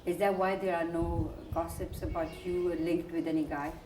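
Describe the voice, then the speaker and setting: A woman's voice: on the low side, level tone, normal speed, noisy background. A woman interviewing a guest with probing questions, often hesitating and restarting her sentences with fillers. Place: indoor room in a residential building. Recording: echoey room, archival video.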